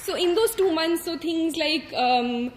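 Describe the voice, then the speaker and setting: A woman's voice: very high-pitched, animated, unhurried, roomy sound, a faint crowd, a little hiss or hum. A young woman in her twenties with an Indian English accent, a native Hindi speaker, telling her own story in an interview, thoughtful and full of small hesitations. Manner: thoughtful, with frequent hesitations and fillers. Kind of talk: candid personal story.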